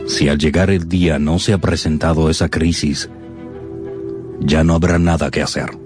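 An actor playing a courteous family doctor in a radio drama, speaking in a grave, formal, deliberate manner. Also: male voice, a bass voice, fairly even intonation. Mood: somber. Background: music.

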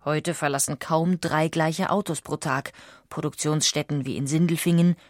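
Woman doing documentary voiceover, lowish voice, measured and precise, very dry sound. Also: steady tone, no room sound. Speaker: narrator. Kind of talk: scripted documentary narration.

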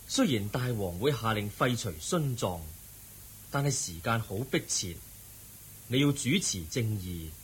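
An actor playing the Buddha as a serene, compassionate teacher in a radio drama, speaking measured, earnest Cantonese with a Hong Kong accent. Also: male voice, on the low side, expressive, a little hiss or hum. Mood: solemn, earnest.

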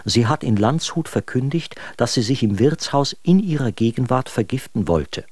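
A man's voice: medium pitch, animated, moderate pace, a little mellow, husky, no room sound. An actor playing an exasperated, mocking male letter writer, telling his story articulately and sardonically in a German radio drama. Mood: wry, harried.

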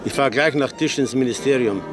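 An actor playing a cautious, well-connected friend who placates and warns in a radio drama. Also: male voice, mid-range voice, a little fast, music in the background, lightly acted. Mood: placating.

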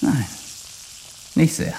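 Cocky drifter over sizzling noise. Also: male voice, drawn-out words, mellow. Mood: flirting, sly. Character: brash drifter mechanic, cocky, smooth-talking flirt. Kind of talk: radio drama acting.

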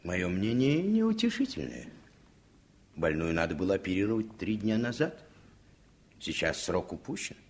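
A man's voice: low voice, sing-song, moderate pace, long pauses. A man playing a sour, curt, pompous professor of medicine in a Soviet radio play. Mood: sour, dismissive. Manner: pompous, emphatic.

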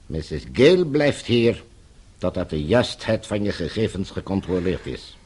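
Low, husky, courteous villain. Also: male voice, big pitch swings, a little mellow, exaggerated voice. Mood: menacing. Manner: formal, smooth-tongued, measured menace. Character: coldly polite blackmailing villain, a controlling official. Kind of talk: radio drama acting.

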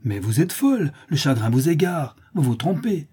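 A man's voice: mid-range voice, expressive, fairly quick, dark tone, breathy edge. A man voicing an indignant, loyal young lady in a storybook reading, commanding and agitated. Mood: shocked. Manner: imperious, agitated, exclamatory.

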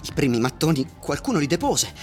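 An actor playing a bewildered, stubborn train passenger who speaks in a halting, insistent way in a radio drama. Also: male voice, fairly high pitch, very animated. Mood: agitated, insistent. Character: agitated, bewildered male passenger, stubbornly questioning a closed world. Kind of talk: theatrical radio drama acting. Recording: voice over faint music.

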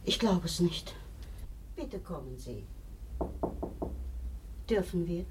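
A woman's voice: fairly low pitch, lively intonation, takes it slowly. A woman in a radio play acting an anxious, caring married woman, subdued and earnest in her sorrow. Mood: sorrowful. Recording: noisy archive radio play recording.

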